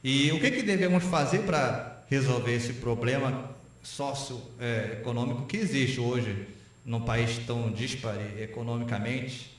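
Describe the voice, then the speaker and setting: A man's voice: medium pitch, unhurried, a little hiss or hum. Brazilian man in a very echoey room. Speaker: interview guest, philosopher. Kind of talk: reflective interview talk.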